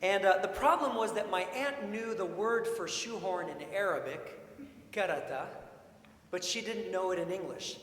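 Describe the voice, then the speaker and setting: A man preaching a sermon in an informal, expressive way, with storytelling and questions repeated for emphasis. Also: sits high, moderate pace. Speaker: preacher, priest. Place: large indoor church sanctuary. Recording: echoey church hall, long reverb, faint noise.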